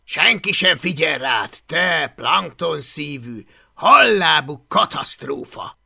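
A reader voicing a teenage Viking bully who jeers, shouts and keeps cackling with laughter in a storybook reading. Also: big pitch swings. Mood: spiteful. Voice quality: high and shouting. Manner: loud cackling jeering laughter.

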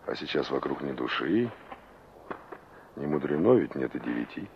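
An actor playing a wistful young engineer in an old Soviet radio play, musing to himself and polite with strangers. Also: male voice, rumbling low, very animated, moderate pace, gravelly, heavily acted. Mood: nostalgic, musing. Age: young adult. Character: young male engineer revisiting a remembered village, wistful. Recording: noisy, dull old radio play recording.

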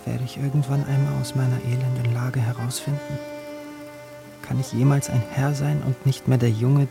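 A man's voice: medium pitch, little pitch movement, moderate pace, vocal fry, music in the background, close to an everyday voice. An actor playing a poor young man who narrates his own story in a radio drama, introspective and yearning. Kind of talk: literary first-person narration in radio drama.

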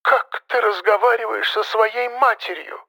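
A man's voice: sits high, fairly even intonation, normal speed, creaky, pushed and bright, pitched above the performer's own voice, heavily acted, poor audio. A man voicing an anxious, nagging elderly mother in an audiobook story, plaintive and lecturing, often sighing. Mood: reproachful.

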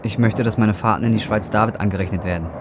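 A man's voice: fairly low pitch, quick pace, natural voice. An actor in his twenties playing a desperate, broke young man who swears in coarse slang, in naturalistic film drama style. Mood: flat, annoyed. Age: 20s. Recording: clearly noisy film location sound.

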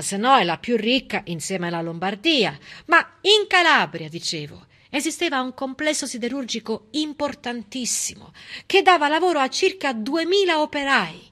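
A woman performing a theatrical narrative monologue as a stage storyteller, emphatic, with dramatic pauses and an ironic edge. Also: high-pitched, sharp tone.

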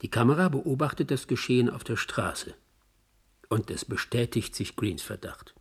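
An actor playing a sober, matter-of-fact male narrator in a scripted radio drama, his voice husky and raspy. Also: medium pitch, lively intonation, moderate pace.

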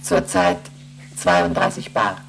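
An actress playing a female ship's computer in a German radio play, reciting emergency readings in a clipped, procedural monotone. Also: a bass voice, slow, vocal fry, faint music behind, full character voice. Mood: impassive, procedural.

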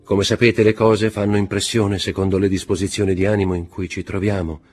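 A man's voice: low voice, level tone, a little fast, darkish tone, faint music behind. A man voicing a shaken banker's wife in an audiobook reading, answering in a composed but strained way. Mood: guarded.

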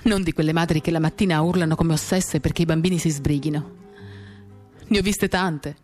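A woman giving a measured, expressive dramatic reading of a first-person novel, pausing as she tells it. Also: low-pitched. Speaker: reader of a literary work. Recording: acoustic guitar music behind the voice. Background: acoustic guitar accompaniment.

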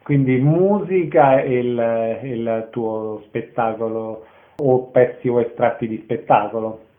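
A man's voice: mid-range voice, slow. A man working as an interviewer, speaking briefly and matter-of-factly through a narrow telephone-like sound.

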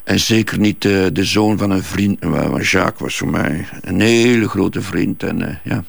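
A man playing an evasive, self-assured businessman under questioning in a crime drama, with a Flemish accent, a gravelly husky voice and repetitive, dismissive replies. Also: sits low, normal speed, dry recording, exaggerated voice. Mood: guarded, reminiscing. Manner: hesitant, repeats himself, dismissive.

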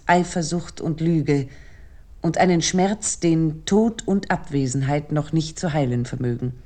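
A woman with a low-pitched voice reads a scripted literary essay. Her delivery is serious and measured, and she articulates carefully as a commentator. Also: darkish tone. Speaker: commentator introducing a literary work.

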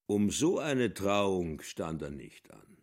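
An actor playing a jovial, boozy cobbler in a German radio play, chummy and a little self-important. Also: male voice, deep voice, big pitch swings, takes it slowly, a little mellow, full character voice. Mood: jovial, pompous.

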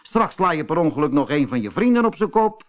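A man voicing a steady badger who leads his animal friends in a firm, rallying way, in a children's storybook. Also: a little high, a little fast, pitched above the performer's own voice. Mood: firm, sensible.

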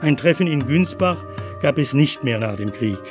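A man delivering scripted documentary narration in a measured, factual manner. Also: medium pitch, animated. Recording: music faintly under narration.

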